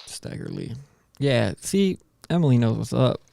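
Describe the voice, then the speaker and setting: A man's voice: mid-range voice, animated, a little slow, gravelly, warm tone, no room sound. A man hosting a casual podcast, chatting and bantering in slangy speech with plenty of "dude". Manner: slangy, says "dude" often. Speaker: podcast host. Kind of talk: casual banter about music with co-hosts.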